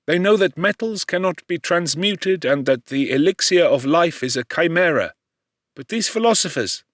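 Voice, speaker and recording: Guy doing a kindly old-school science professor for an audiobook, formal lecturing, higher pitched, very dry sound. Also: fairly bright tone, dry recording. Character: eloquent, kindly male chemistry professor, fervent and dignified. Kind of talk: audiobook character voice.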